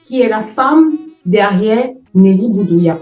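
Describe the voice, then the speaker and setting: A woman's voice: medium pitch, expressive, takes it slowly, darkish tone, reverberant, soft background music. A woman hosting an interview, speaking with effusive emphasis and repeating phrases for effect. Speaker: host. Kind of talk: emphatic podcast introduction and interview.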